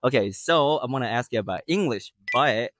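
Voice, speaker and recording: A man hosts a casual interview in plain, simple English. He fills the pauses with short agreeing hums. His voice is mid-pitched and dry, close to the mic. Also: lively intonation, a bit bright, no echo. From Japan. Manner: many short backchannel hums, simple phrasing. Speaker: street interviewer.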